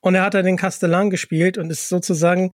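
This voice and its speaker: A man's voice: high voice, little pitch movement, fast, no echo, studio quality. A man hosting a podcast, chatting casually and putting questions to a guest. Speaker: podcast host. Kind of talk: casual interview chat.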